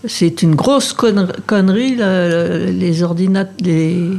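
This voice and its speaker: A woman's voice: on the low side, slow, warm tone. A woman chatting as a guest, hesitant and stammering as she searches for words. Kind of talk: casual group chat.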